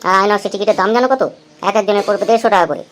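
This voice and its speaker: Casting: male actor as thirties husband for comedy cartoon, quick delivery, chatty, thrifty, coaxing and teasing. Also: sits high, fairly bright tone. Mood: exasperated. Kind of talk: amateur comedy cartoon dialogue.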